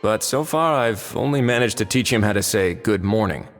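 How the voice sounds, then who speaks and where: An actor playing a stoic, dryly confident man of authority in a video game, deadpan and understated. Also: lowish voice, moderate pace, long reverb tail, soft background music, close to an everyday voice. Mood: dry, unbothered.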